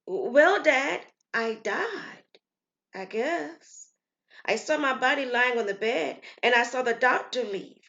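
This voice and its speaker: A woman voicing an earnest, innocent daughter in an audio story, halting and full of childlike wonder. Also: on the high side, animated, creaky, a bit bright, muffled recording, pitched above the performer's own voice, full character voice.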